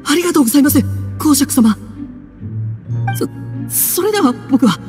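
A man's voice: very high-pitched, sing-song, moderate pace, a little mellow, faint music behind. An actor playing a timid, flustered young male prisoner in a game, hesitant, stammering and apologetic. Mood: nervous. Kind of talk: game voice acting.

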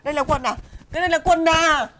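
A woman's voice: high-pitched, fast talker, bright, forceful tone, a little hiss or hum. A woman playing an exasperated wife scolding her drunk husband in a comedy skit, nagging with repeated rhetorical questions.

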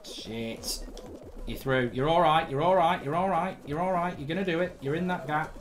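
A hoarse man in his thirties doing live, blunt, sweary commentary while streaming a game from a desk indoors. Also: fairly high pitch, normal speed, faint music behind. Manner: blunt, sweary, rambling banter.

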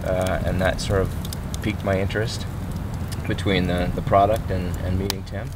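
A man with a low voice talks through how he found the business in a measured, matter-of-fact way. He is set back from the mic outdoors, with loud noise behind him. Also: level tone, distant mic. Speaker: new company owner. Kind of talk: casual fireside chat. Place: outdoors by a campfire in woods.

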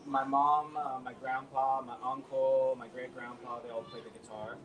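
A man's voice: mid-range voice, flat delivery, moderate pace, far from the mic, over loud music. A young man, a guitarist, chatting casually and a little hesitantly as an interview guest. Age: young adult. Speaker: band guitarist being interviewed. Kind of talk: casual chat. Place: outdoor terrace by a beach. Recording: noisy outdoor recording, off mic.

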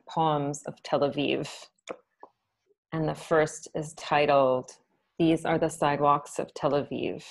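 A woman poet reading her own poems on a video call in a soft, measured way, with short spoken asides between them. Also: sits low.

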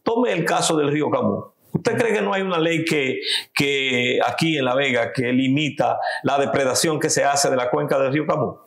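A man's voice: normal speed, vocal fry, echoey room. A Dominican man in his fifties, speaking Spanish with a Dominican accent as a political guest in a seated interview, arguing emphatically in a living room. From Dominican Republic. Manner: emphatic, gesturing, rising in pitch when stressing points.

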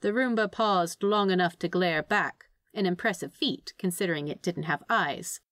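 A woman reading fan fiction aloud as an expressive, scripted story narration. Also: lowish voice, moderate pace, no room sound, studio quality.

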